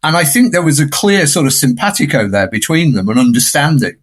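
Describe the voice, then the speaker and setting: A man's voice: on the high side, expressive, fairly quick. A man speaking as a podcast guest, explaining media history in an emphatic, discursive way.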